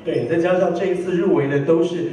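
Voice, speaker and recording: A man's voice: on the high side, fairly even intonation, a little fast, warm tone. A man co-hosting and interviewing award winners in an upbeat way. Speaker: award backstage co-host, interviewer. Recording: very echoey hall, distant mic.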